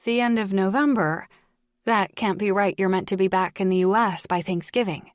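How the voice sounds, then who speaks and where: Woman as an anxious, sharp-tongued mother. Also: mid-range voice, normal speed, dry recording, studio quality, natural voice. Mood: wary. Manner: sharp, emphatic, exasperated. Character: anxious, sarcastic mother of a grown daughter.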